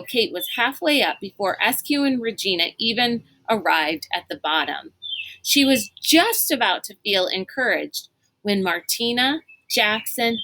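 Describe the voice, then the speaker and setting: A woman reading a storybook aloud as an expressive narrator. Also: mid-range voice, dry recording, rough recording.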